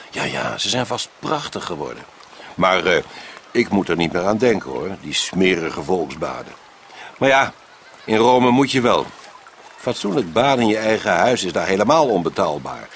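An actor playing a self-satisfied, grumbling Roman prefect in a radio play, musing in a pompous, drawn-out way. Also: male voice, low voice, gravelly, a bit bright, slight background noise, exaggerated voice. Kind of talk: radio drama acting.

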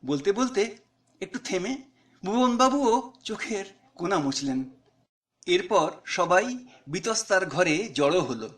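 A man's voice: high voice, animated, measured pace, pauses often, fairly bright tone. A man with an Indian Bengali accent reading his own short story aloud as dramatic, conversational narration.

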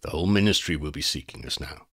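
A man voicing a cautious, calculating male dark wizard in an audiobook reading. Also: a bass voice, animated, fast, husky, heavily acted. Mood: wary. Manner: wary, calculating.

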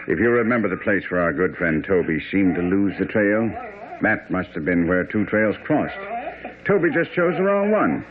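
An actor playing a brisk, confident, keen detective who lectures incisively in a radio drama. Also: male voice, deep voice, big pitch swings, a crowd in the background. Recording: phone-like, faintly noisy old radio broadcast.

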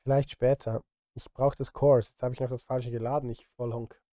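A man's voice: medium pitch, little pitch movement, fairly quick, warm tone, dry recording, highs cut off. Dry-sounding man muttering to himself. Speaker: streamer and film music composer. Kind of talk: casual live-stream chat, thinking aloud. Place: home studio, indoors. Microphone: close studio microphone.

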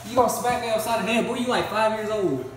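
A man's voice: high voice, fast, recorded from a distance, slight background noise. Brash mocking teen guy, echoey gym. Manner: brash, mocking, yelling insults. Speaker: friend and co-host. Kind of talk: rowdy casual banter. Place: large echoey gym room. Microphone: phone camera. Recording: very echoey room with loud music behind.

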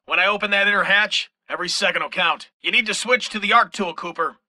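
A man's voice: sits high, fast, pushed and bright. A man playing a tense military officer in a video game, commanding and urgent.

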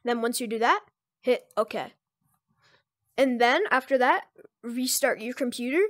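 A speaker giving informal, improvised step-by-step instructions in a casual screen-recorded software tutorial. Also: medium pitch, unhurried, no room sound, clean studio sound. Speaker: tutorial presenter.

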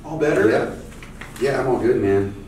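A man's voice: medium pitch, animated, normal speed, darkish tone, lightly acted. An actor reading the part of an easygoing, bashful young guy with a hesitant, casual delivery at a table read. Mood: eager, casual. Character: easygoing, excitable, bashful man on a bicycle. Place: meeting room, indoors. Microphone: distant camera microphone. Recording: echoey room, distant mic, faint noise.

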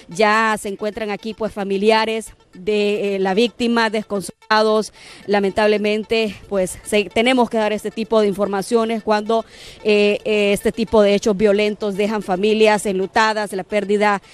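A woman in her thirties with a Honduran accent, giving a somber live field report outdoors into a handheld mic, with frequent fillers. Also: mid-range voice. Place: outdoors on a street by a barbershop. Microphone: handheld microphone.

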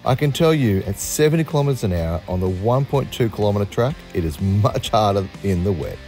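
A man's voice: on the low side, expressive, a little fast, music in the background. A man with an Australian accent doing scripted voice-over narration for an outdoor vlog.

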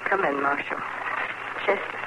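A woman's voice: low voice, unhurried. A woman playing a stoic, grieving farm widow with a rural American accent in a 1950s radio western, plain and restrained. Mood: numb. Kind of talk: 1950s radio drama acting. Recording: noisy old radio broadcast recording.

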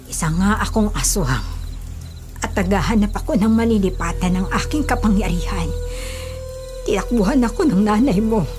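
A woman playing a sinister old grandmother who is secretly a shapeshifting monster, speaking slowly and ominously in a Filipino radio drama. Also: mid-range voice, animated, close to an everyday voice. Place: small radio studio. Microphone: studio condenser microphone. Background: dramatic music bed.

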